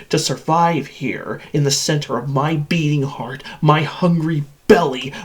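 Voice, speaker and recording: A man voicing an insane, sadistic supercomputer that hates its human captives, in a theatrical and menacing villain monologue. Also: fairly high pitch. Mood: taunting, sinister. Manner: theatrical, menacing, swinging from whispers to screams.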